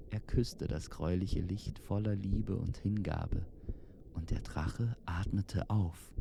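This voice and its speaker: A man narrating a fantasy audio story in a measured, solemn, dramatic storytelling style. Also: low voice, gravelly. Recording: reverberant, with noisy ambience behind.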